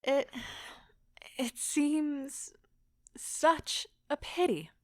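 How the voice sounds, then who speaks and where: A woman playing an anguished, proud wife in an audio drama, speaking with deliberate emotional intensity. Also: sits high, expressive, no echo, studio quality, heavily acted. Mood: wistful. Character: anguished, proud wife pleading as her marriage ends.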